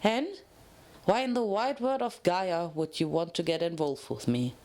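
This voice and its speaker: Woman as a guarded, gruff mare prisoner. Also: lowish voice, measured pace, darkish tone, voice pushed down. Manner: curt, reluctant. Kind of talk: dramatic fan fiction reading.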